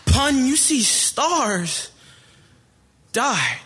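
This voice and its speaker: A woman performing spoken word poetry at a live slam, with a rhythmic, sing-song delivery and dramatic pauses. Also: sits high, big pitch swings, fairly bright tone, slight background noise. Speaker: slam poet. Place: live venue before an audience.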